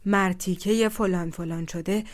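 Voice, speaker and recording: A hot-tempered village man who bellows and curses in rustic speech, as a woman narrator puts him on. His lines come loud and hard against the quiet narration. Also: lowish voice, fairly even intonation, lightly acted. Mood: angry. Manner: shouting, cursing, rustic speech.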